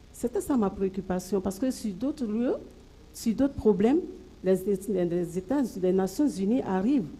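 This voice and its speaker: A woman's voice: medium pitch, creaky, warm tone, low-quality recording. A woman from Côte d'Ivoire who leads a women's organisation, putting an earnest, pressing question to a panel from the floor. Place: conference hall.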